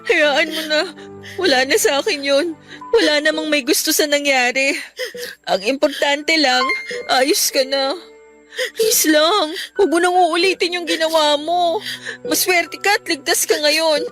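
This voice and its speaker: A devoted, forgiving teenage girl in a Filipino radio drama. Her voice is high and earnest, pleading with feeling, while music plays softly behind her. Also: unhurried. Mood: tearful, pleading, then earnest, reassuring. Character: caring, forgiving teenage best friend, young woman. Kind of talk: Tagalog radio drama acting. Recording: music bed under the voice.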